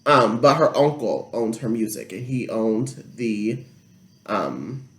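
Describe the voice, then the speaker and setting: A man's voice: mid-range voice, lively intonation, slow pace, a bit bright. A man co-hosting a casual podcast chat, blunt and opinionated. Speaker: podcast co-host. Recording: echoey room.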